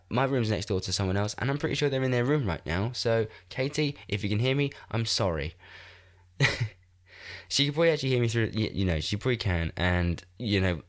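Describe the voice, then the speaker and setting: A man in his twenties with a blocked, congested nose, rambling self-mockingly and swearing as he hosts a solo podcast from a room in a shared house. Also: fairly low pitch. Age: 20s. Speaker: podcast host. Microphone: desk microphone.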